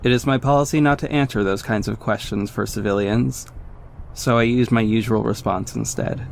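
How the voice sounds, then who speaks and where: A man's voice: lowish voice, steady tone, moderate pace, creaky, a little hiss or hum. An actor playing a young, cocky but caring male vigilante superhero in a scripted audio drama. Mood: earnest narrating. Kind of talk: scripted first-person narration and acted dialogue. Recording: music behind the voice. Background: music.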